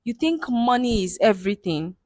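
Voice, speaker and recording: A woman's voice: fairly high pitch, big pitch swings, moderate pace, dry recording, studio quality. A woman with a Nigerian accent voicing a wronged young wife who accuses her husband. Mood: angry. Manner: shouting accusations.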